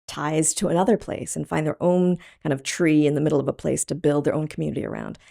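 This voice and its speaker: A middle-aged woman who grew up in Thailand, an artist talking through her story in a thoughtful, halting way with many pauses and ums. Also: sits low, gravelly, mellow. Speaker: artist guest. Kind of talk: reflective interview talk.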